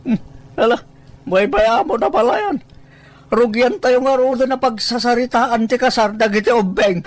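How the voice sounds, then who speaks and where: A woman's voice: a little high, normal speed, lightly acted. A woman voicing a firm, peacemaking uncle who coaxes and mediates in an Ilocano audio drama. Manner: coaxing, mediating.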